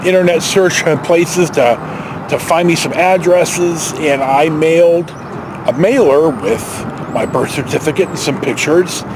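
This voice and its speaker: High-pitched American man telling personal stories. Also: noisy background. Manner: rambling, reflective, halting. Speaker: storyteller vlogger. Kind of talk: casual personal storytelling.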